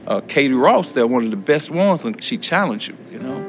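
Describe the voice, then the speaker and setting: A middle-aged American man speaks candidly, answering as an interviewee. His voice sits at an ordinary male pitch, with plucked guitar playing clearly underneath. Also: big pitch swings, a little fast. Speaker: prison education student. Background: acoustic guitar music.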